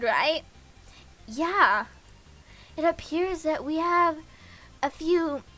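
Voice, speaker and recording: A woman's voice: sits high, pushed and bright. A woman streaming a game, reading visual novel dialogue aloud in a casual, playful way.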